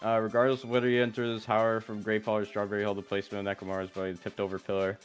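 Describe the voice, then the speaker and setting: A man's voice: lowish voice, monotone, racing. A man co-commentating on a game stream in a casual, blunt and sweary way. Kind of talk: casual commentary, reads game text aloud. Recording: music playing behind the voice. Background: video game music.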